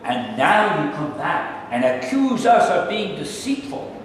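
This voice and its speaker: A man's voice: high voice, big pitch swings, measured pace. A man playing a stern, protective adoptive father in a staged play reading. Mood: reproachful. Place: live staged reading in a hall. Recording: echoey hall, distant mic, faint noise.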